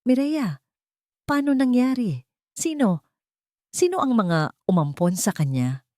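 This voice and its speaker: A woman's voice: on the high side, very animated, slow, a little mellow, dry recording, clean studio sound, pitched above the performer's own voice. A woman voicing an elderly grandmother in an audio story, secretive and anxious, asking careful, coaxing questions. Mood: urgent, shaken.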